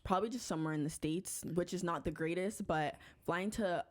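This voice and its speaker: Woman guest on a podcast, low voice, chill, says like a lot. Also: brisk. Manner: relaxed, filler-heavy, agreeable. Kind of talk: casual chat.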